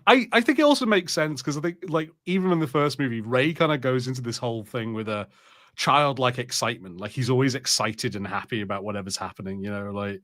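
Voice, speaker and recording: A man's voice: medium pitch, expressive, fast, fairly bright tone, dry recording, clean studio sound. A man co-hosting a podcast, chatting casually and jokily about films, with some swearing. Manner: informal, jokey, sweary. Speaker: podcast co-host. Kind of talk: casual film chat on a watch party.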